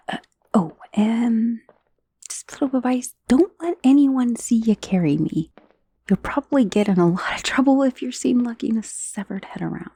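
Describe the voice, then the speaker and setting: A woman's voice: on the low side, warm tone, breathy edge, no echo, heavily acted. A woman playing a friendly, chatty dullahan, a magical severed head, in an ASMR roleplay with casual, hesitant asides. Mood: hushed, explaining. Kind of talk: ASMR roleplay acting, addressing the listener.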